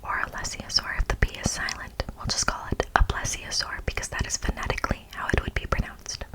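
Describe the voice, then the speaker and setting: An American woman doing ASMR, reading an informative speech in a hushed, deliberate manner with playful asides to the listener. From United States. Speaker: ASMR host.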